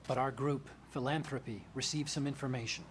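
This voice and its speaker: Man voicing an earnest engineer ally, measured explaining, mid pitch, video game. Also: steady tone, slight background noise, close to an everyday voice.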